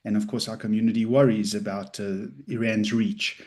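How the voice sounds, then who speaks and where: A man from South Africa, the host, asking a halting question full of fillers and restarts. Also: on the low side, little pitch movement, darkish tone. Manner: hesitant, many fillers and restarts.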